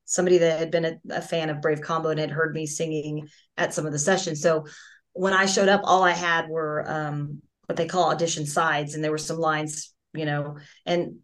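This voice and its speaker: Low-voiced chatty woman guest. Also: flat delivery, moderate pace, a bit bright. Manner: chatty, rambling storyteller with many restarts. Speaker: voice actress and singer, guest. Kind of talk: casual interview chat. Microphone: video call.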